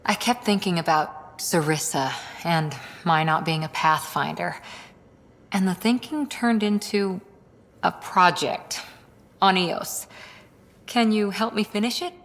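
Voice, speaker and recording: Earnest crew officer in reverb. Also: female voice, on the low side, unhurried, a bit bright. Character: earnest, determined female spaceship crew officer. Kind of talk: video game dialogue.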